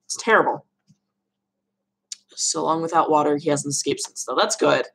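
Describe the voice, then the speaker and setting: A young woman with a notably deep voice and a gravelly edge of vocal fry. She chats loosely and rambles while answering viewer questions on a live stream from her room at home. She laughs often. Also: normal speed, fairly bright tone, a little hiss or hum. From Arizona, USA. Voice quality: low voice. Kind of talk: casual live Q&A chat.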